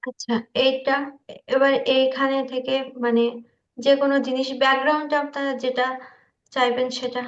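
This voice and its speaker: Woman tutor, high flat voice, dull online-call audio, hesitant informal walkthrough. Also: moderate pace. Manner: hesitant, checks listeners understand. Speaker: tutor demonstrating video animation software. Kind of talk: informal screen-share tutorial. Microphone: online meeting call.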